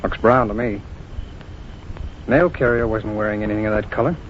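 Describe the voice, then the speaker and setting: An actor brings to life a calm, methodical federal agent who talks in a businesslike, matter-of-fact way. The recording is muffled like an old 1940s broadcast, with a faint hiss beneath it. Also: male voice, lowish voice, normal speed, lightly acted. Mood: investigating. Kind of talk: 1940s radio acting.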